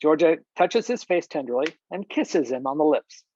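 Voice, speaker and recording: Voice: mid-range voice, animated, normal speed, darkish tone, a little hiss or hum. A narrator reading screenplay stage directions in a scripted style over a video call. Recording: dull video-call audio.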